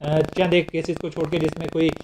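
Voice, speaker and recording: A man's voice: on the high side, little pitch movement, a little fast, background noise. A man with a Pakistani accent explaining legal material like a lecturer, in a didactic way, restating his points. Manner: didactic, repeats and rephrases points. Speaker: law lecturer.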